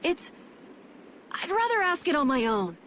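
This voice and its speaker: A woman voicing an earnest, excitable young boy adventurer in a video game. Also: sits high, measured pace, a little hiss or hum, full character voice. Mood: hesitant. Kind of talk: animated video game dubbing.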